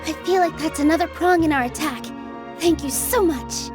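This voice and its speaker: A woman playing a gentle, earnest young female performer in a video game dub. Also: sits high, moderate pace, music in the background, close to an everyday voice. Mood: reassuring.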